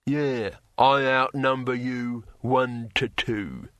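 A man voicing a gruff, blunt barbarian hero in a fantasy audiobook, with a growling manner. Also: mid-range voice, steady tone, slow pace, fairly bright tone, dry recording. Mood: cocky.

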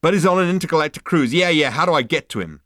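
Man doing brash, loud Zaphod, all swagger. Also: mid-range voice, animated, brisk, no echo, clean studio sound, natural voice. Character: brash, swaggering hipster male alien, loud and cocky.

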